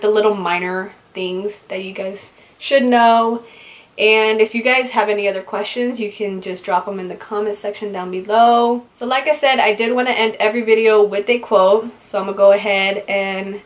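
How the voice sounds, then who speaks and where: A young woman in her twenties, originally from California and raised in Utah, answers viewer questions in a casual, chatty vlog, filling her talk with "like". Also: medium pitch. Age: 20s. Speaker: beauty vlogger. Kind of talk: casual get-to-know-me Q&A.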